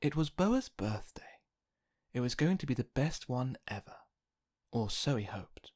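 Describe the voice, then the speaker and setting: A storybook narrator giving a gentle, scripted reading for children, with music behind the voice. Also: medium pitch, big pitch swings, measured pace. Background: music bed.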